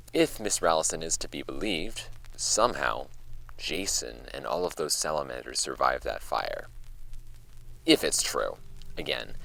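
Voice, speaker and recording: An actor playing a case archivist who narrates eerie witness files in a measured, hushed, deliberate horror audio drama style. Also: male voice, a bass voice, gravelly, heavily acted, poor audio. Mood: dry, analytical. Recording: music under the voice. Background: music.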